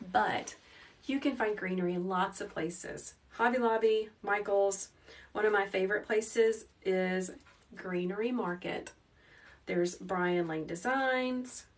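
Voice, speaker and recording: Calm-voiced woman hosting a craft livestream. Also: mid-range voice. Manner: calm, soft teacherly voice, chatty with live viewers. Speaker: wreath-making livestream host. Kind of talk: casual live craft tutorial. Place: small indoor craft workroom. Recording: slightly distant mic with faint noise.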